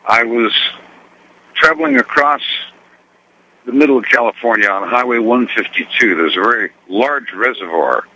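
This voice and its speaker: A man calling a radio show, telling a story in an unhurried, folksy, conversational way. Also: medium pitch, pushed and bright, a little hiss or hum, low-quality recording. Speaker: radio show caller, trucker.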